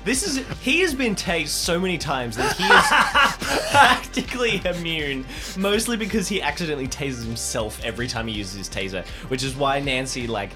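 A man playing a tabletop game in a casual, excitable, joking manner. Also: fairly high pitch, normal speed. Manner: excitable, jokey. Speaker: player in a tabletop game show. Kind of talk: casual improvised game play. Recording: loud music behind the voice.

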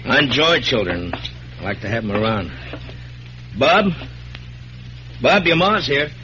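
A stern frontier marshal in a vintage radio western, with a forceful, bright American voice. He speaks in firm, terse commands. The recording is muffled and lightly hissy. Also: medium pitch, very animated, measured pace. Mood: courteous. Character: stern, plain-spoken adult male frontier marshal.

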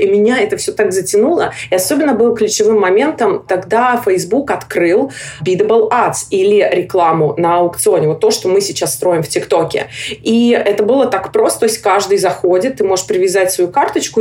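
A woman from Russia, a guest on a podcast, chats openly about her path into tech. She tells her story in a lively, conversational way, often pausing with fillers as she thinks. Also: medium pitch.

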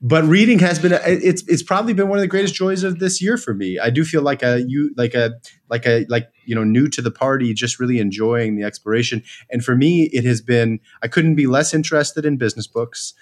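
A man's voice: medium pitch, brisk. A man chatting casually as an interview guest, self-deprecating and enthusiastic, often restarting his sentences as he tells personal stories. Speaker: podcast guest, company co-founder. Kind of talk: casual chat, personal storytelling.

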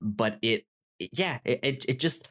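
Male co-host, medium pitch, conversational and hesitant, restarts phrases while reviewing. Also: expressive, a bit bright. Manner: hesitant, halting, repeats words as he searches for phrasing. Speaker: podcast co-host. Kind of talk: casual conversational book review.

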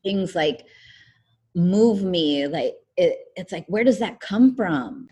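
A young woman from the United States, a guest telling her life story in a relaxed chat, with lots of "um" and "like". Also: fairly low pitch, slow, slightly rough. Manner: rambling, many fillers like "um" and "like". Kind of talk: casual interview conversation.

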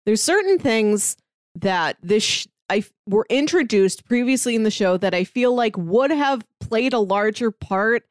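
A woman's voice: fairly high pitch, expressive, measured pace, dry recording, studio quality. A woman co-hosting a TV podcast, talking through the season in a chatty, analytical way and laughing now and then. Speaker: podcast co-host. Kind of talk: casual TV recap chat.

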